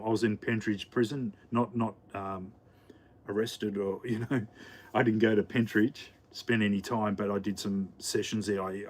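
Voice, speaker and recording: An Australian man chats live to his viewers from his home kitchen while he cooks dinner. He rambles along in a relaxed, unscripted way, full of ums, uhs and restarts, and his voice sits at an ordinary middle pitch. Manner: rambling, chatty, many fillers and restarts. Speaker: livestream host. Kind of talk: casual live chat while cooking.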